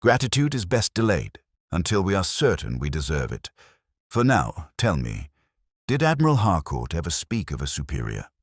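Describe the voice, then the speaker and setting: A man's voice: sits low, wildly swooping pitch, moderate pace, dry recording, studio quality, full character voice. A man voicing a grave, incisive detective in an audiobook, terse, cryptic and commanding. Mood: grave, brisk. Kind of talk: audiobook character acting.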